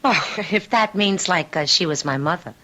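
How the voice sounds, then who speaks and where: A woman's voice: on the low side, moderate pace, bright, forceful tone. An actress playing a slangy, irreverent seventeen-year-old hippie girl in a radio drama, with a casual, brash manner. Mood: brash, amused.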